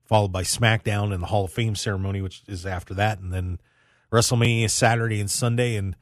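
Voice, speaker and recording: A man's voice: lowish voice, steady tone, brisk, no room sound, studio quality. An American man hosting a casual sports talk radio show in a jokey, rambling way. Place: dry radio studio.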